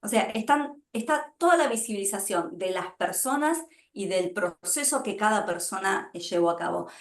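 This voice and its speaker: A woman's voice: mid-range voice, animated, moderate pace. A woman translator and publisher talking emphatically in a conversational interview. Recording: roomy echo.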